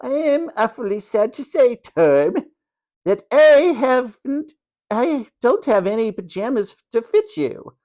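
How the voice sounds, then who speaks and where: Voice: medium pitch, sing-song, a little slow, darkish tone, pitched above the performer's own voice. A storyteller voicing a cruel, sneering hospital matron, a domineering woman who snaps and mocks. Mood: smug, snapping. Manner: snapping, mocking, sarcastic, domineering.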